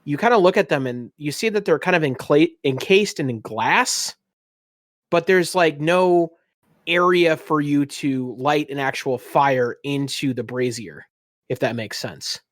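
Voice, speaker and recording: Higher-voiced man narrating a tabletop game. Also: a little slow, no room sound, clean studio sound. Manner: descriptive, scene-setting narration. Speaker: game master, podcast host.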